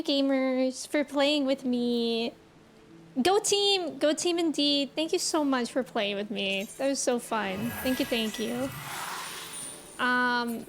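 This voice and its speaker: A woman's voice: high voice, a bit bright. A woman game streamer chatting casually and appreciatively with her viewers in an informal way. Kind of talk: casual livestream chat with viewers. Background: game music.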